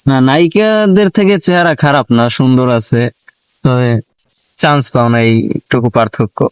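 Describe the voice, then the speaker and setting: A man's voice: mid-range voice, moderate pace, clean tone. A man with a Bangladeshi accent chatting casually as a prank call host, teasing and pressing with questions. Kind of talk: casual teasing phone chat in colloquial Bengali.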